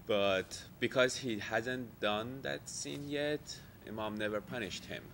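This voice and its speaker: A man's voice: lowish voice, slow, creaky, background noise. A man in the audience asking a long question, hesitant and thinking aloud. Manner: hesitant, many fillers.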